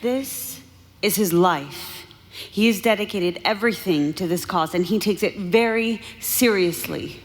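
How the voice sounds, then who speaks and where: An actress playing a tough, determined resistance fighter and guide in a live audio drama. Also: female voice, medium pitch, a little slow, lightly acted. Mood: earnest. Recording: live stage with faint background noise.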